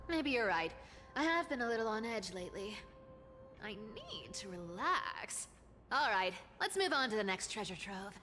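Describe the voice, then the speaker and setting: A woman's voice: medium pitch, sing-song, moderate pace, close to an everyday voice. A woman playing a sly, playful young female boss in a video game, teasing and languid yet commanding. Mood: teasing, bossy. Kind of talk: video game character acting. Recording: echoey hall with music behind.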